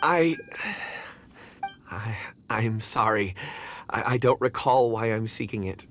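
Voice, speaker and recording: A man's voice: on the low side, takes it slowly, a bit bright. Anxious, absent-minded, hesitant man. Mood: anxious, lost. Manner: hesitant, apologetic. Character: polite, anxious, absent-minded man, troubled by lost memory. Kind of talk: English video game voice acting.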